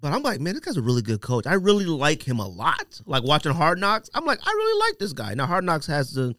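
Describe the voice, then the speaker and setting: A man co-hosting a casual sports podcast, animated and slangy as he argues his points. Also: fairly high pitch, quick pace, no room sound, studio quality.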